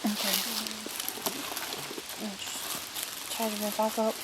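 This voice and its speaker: A woman's voice: medium pitch, flat delivery, slow, poor audio. A woman on an outdoor ghost hunt, asking spirits curious questions in a chatty manner. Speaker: paranormal investigator. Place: outdoors at a woodland waterfall site. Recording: hissy outdoor recording.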